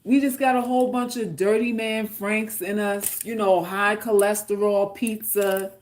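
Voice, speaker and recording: A woman's voice: mid-range voice, fairly even intonation, unhurried, background noise. A woman from Queens with a New York accent, chatting casually and bluntly with viewers, joking and swearing freely. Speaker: livestream host reading chat. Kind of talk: casual unscripted chat.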